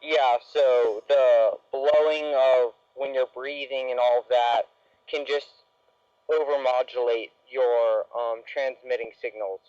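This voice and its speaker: A young man speaking over a two-way radio, explaining casually and pausing mid-sentence. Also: mid-range voice, warm tone, no echo. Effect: two-way CB radio transmission. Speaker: host's son on the other radio. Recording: muffled distant two-way radio link.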